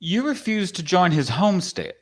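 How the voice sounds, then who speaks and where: A man's voice: high voice, very animated, normal speed, full character voice. A man playing a proud, folksy old-time cowboy spirit from a painting in a dramatic script reading, with boastful, rustic phrasing. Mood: folksy, curious.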